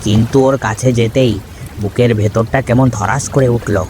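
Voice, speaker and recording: An uneasy, superstitious village man acted by a man in a story drama. He confides in everyday colloquial talk at a medium pitch, with clear rain and water noise behind. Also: steady tone, moderate pace, a little mellow, natural voice. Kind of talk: audio story dialogue acting.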